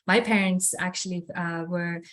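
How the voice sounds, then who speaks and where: A woman's voice: fairly low pitch, steady tone, moderate pace, fairly bright tone. Eager woman from France. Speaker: panelist. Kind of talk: panel discussion.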